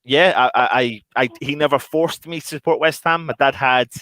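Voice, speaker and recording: A man's voice: on the high side, steady tone, brisk, fairly bright tone, no room sound. A Scottish man from Scotland, co-hosting a casual live stream with jokey banter and frequent restarts.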